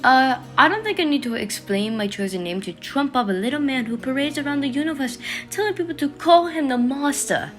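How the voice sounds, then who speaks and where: Woman acting a high-voiced, defiant warrior. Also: lively intonation, a little fast, few pauses, faint music behind. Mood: hostile scorn. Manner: cutting, disdainful. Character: defiant, sharp-tongued woman warrior agent. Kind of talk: audio drama acting.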